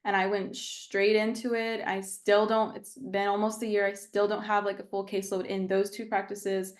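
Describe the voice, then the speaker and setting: A woman's voice: mid-range voice, flat delivery, moderate pace. Chatty young woman. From Texas. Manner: chatty, frequent "like" fillers. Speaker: guest therapist. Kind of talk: casual podcast chat.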